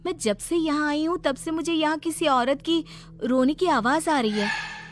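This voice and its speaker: A woman's voice: high voice, moderate pace, a little hiss or hum, voice pushed up, close to an everyday voice. A woman voicing a worried young granddaughter, pleading and curious, in a cartoon story. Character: Seema, frightened young granddaughter visiting the village, pleading, curious.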